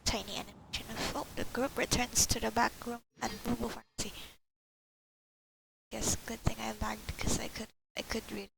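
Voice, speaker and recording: A woman's voice: fairly high pitch, little pitch movement, moderate pace, creaky, noisy background. A woman streaming a game with casual, muttered reactions and bits of text read aloud. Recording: loud game music behind the voice.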